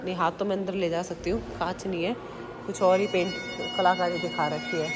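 A woman's voice: lowish voice, fairly even intonation, a little fast. A young Indian woman filming herself on a phone at arm's length, chatting to viewers as she walks through large museum halls. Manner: chatty, addresses viewers directly. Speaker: travel vlogger. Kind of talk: casual walking tour vlog. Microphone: handheld phone at arm's length. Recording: noisy phone recording in a busy museum.

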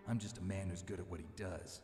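A low, gruff American male mercenary performed by an actor speaks in a video game cutscene with long reverb and music underneath. He is jaded and stoic, delivering terse, blunt lines in deadpan fashion. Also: quick pace, close to an everyday voice. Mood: bleak, jaded. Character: jaded, stoic male mercenary soldier, hard-bitten loner. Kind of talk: dramatic game cutscene dialogue.

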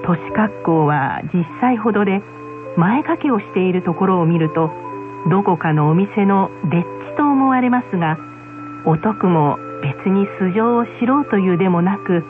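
A woman narrating a folk tale in a measured, scripted storytelling style. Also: mid-range voice, animated. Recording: muffled radio recording, music behind. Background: music.

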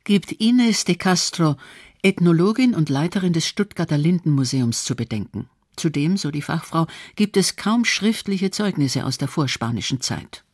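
A woman's voice: deep voice, animated, normal speed, a little mellow, slightly rough, no echo, clean studio sound. A woman narrating a scripted radio documentary.

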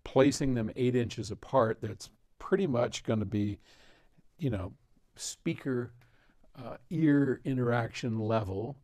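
An older man talks casually to camera, rambling through asides and laughing often. His voice sits at a middling pitch, and the lapel-miked sound in the listening room is close and dry. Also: slow pace, darkish tone, no echo. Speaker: host answering viewer questions. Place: large listening room with speakers.

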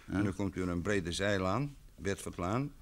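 Chatty, obliging country innkeeper. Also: male voice, low voice, lively intonation, normal speed, a little hiss or hum. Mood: helpful, chatty. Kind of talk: old Dutch radio play acting.

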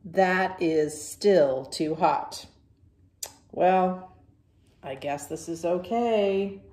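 A woman's voice: fairly low pitch, very slow, warm tone, reverberant. A woman voicing a stubborn, dramatic storybook pigeon who argues like a child, exclaiming every point emphatically. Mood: fussy, complaining.

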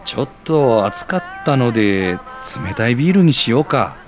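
An actor playing a mild, good-natured, slightly bashful bachelor in a scripted audio drama. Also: male voice, mid-range voice, sing-song, normal speed, faint music behind, close to an everyday voice. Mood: friendly.

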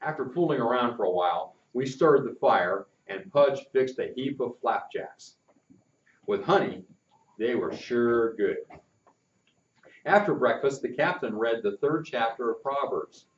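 Older storyteller reading aloud, roomy. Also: male voice, mid-range voice, a little slow. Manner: lively, expressive reading with asides to listeners. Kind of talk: reading a chapter book aloud. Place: indoor classroom-like room with posters. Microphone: far camera. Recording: echoey room, distant dull mic.

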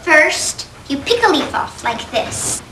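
A woman playing a patient mother in a sitcom, calmly demonstrating at the dinner table. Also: sits high, slow, slight background noise, full character voice. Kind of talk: sitcom acting.

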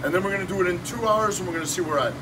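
A man in his fifties, a shop owner giving an energetic, salesy cooking demo in a small shop office, filmed on a phone. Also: sits high, very fast. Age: 50s. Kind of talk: casual promotional demo. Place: small shop office with kitchen counter, indoors. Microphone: phone camera held by someone nearby. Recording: distant phone audio with room noise.

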